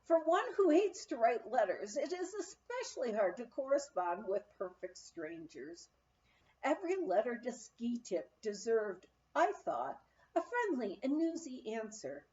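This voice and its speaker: A woman narrates an anecdotal memoir in a fairly high, soft-toned voice. Her delivery is very lively and expressive, moving up and down as she tells the story. The recording is a little muffled and lo-fi. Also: measured pace. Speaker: audiobook reader.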